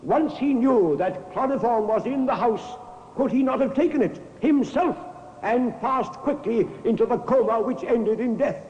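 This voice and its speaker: An actor playing a formal, probing defending counsel who speaks deliberately and rhetorically in old-time radio courtroom style. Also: male voice, extremely high pitch, a little mellow. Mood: pleading, solemn. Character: eloquent, probing male defending counsel pleading to a jury. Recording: dull, echoey old radio recording, long reverb.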